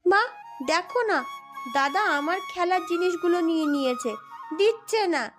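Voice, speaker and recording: A woman's voice: extremely high pitch, lively intonation, normal speed. A woman voicing a whiny, quarrelsome little sister in a cartoon story. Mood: cross, whiny. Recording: music behind the voice.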